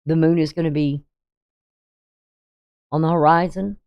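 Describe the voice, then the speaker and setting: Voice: medium pitch, steady tone, measured pace, smooth, dull audio. An astrologer in their sixties talking casually through a daily chart reading, rambling and hesitant, with many ums and restarts. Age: 60s.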